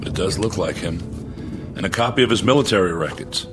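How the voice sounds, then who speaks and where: An actor playing an earnest, uncertain army major in a radio drama, speaking in a measured, deferential, thoughtful way. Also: male voice, low-pitched, expressive. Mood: businesslike, intrigued. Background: music.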